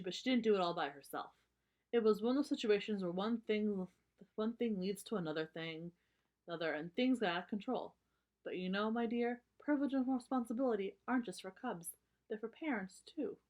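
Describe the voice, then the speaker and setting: A woman's voice: mid-range voice, brisk, darkish tone, lightly acted. Lady doing a papa bear from a kids book, mild and reasonable, explaining stuff. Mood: reasoning. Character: mild, reasonable father bear in a children's storybook.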